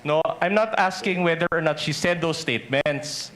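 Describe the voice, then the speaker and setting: A man's voice: fairly high pitch, moderate pace, a bit bright. A man with a Filipino accent cross-examining as defense counsel in a hearing, pressing and insistent. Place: hearing room. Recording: noisy hearing-room ambience.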